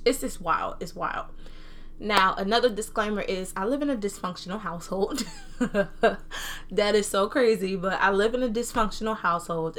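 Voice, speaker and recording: A young woman from New Jersey with a mixed Jersey and Southern American accent, chatting casually and animatedly as a solo podcast host. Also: mid-range voice. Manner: animated, informal, slangy. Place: own room at home.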